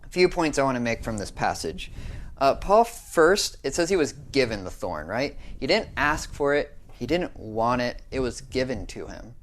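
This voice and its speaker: A man's voice: mid-range voice, sing-song, normal speed. A young American man giving a short devotional talk in an earnest, conversational way, often checking in with "right?". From United States.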